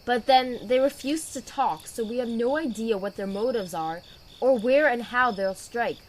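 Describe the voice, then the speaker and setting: A woman playing a dutiful, weary knight commander in an audio drama, speaking in a measured, authoritative way. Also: a little high, a little hiss or hum, natural voice, low-quality recording. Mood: weary, concerned.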